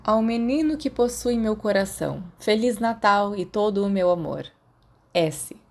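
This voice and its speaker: A woman's voice: mid-range voice, measured pace, a little mellow, a little hiss or hum, voice pushed up, close to an everyday voice. A woman with a Brazilian accent voicing a dry, reluctant teenage schoolboy in an audiobook. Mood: reading aloud.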